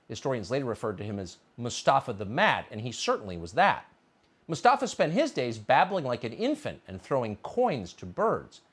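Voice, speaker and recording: A man's voice: medium pitch, a bit bright, husky. A man reading a scripted historical narration with political commentary, in a dramatic, sardonic storytelling manner.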